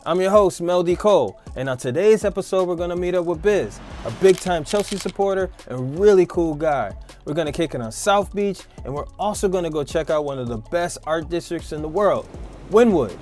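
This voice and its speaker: An upbeat man hosts an interview outdoors in a city. His voice sits a little high, with big swings between loud hype and low, easy asides. Faint music plays under him. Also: lively intonation, fairly quick. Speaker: show host. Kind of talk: enthusiastic interview hosting.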